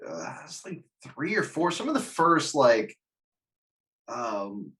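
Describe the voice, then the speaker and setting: Casual male host with lively, swinging pitch. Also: slow pace. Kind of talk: casual chat.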